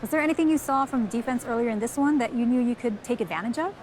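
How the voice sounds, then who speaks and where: Woman acting brisk female basketball reporter, video game, rapid, highish voice, interview style. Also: a little mellow, natural voice. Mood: inquisitive. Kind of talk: sideline post-game interview. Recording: clear background ambience noise.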